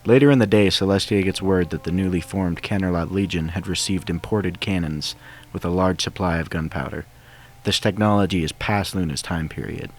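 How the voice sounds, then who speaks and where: A man's voice: deep voice, fairly quick. A man reading a scripted fan fiction story as its narrator. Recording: soundtrack music behind the voice. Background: game soundtrack music.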